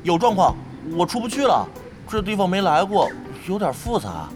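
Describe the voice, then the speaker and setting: An actor playing a reckless, loyal, none-too-bright young male brawler with a bombastic, mock-heroic manner in a radio drama. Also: high-pitched, very animated, moderate pace. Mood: cocky. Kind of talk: radio drama comic acting. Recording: music bed behind voice.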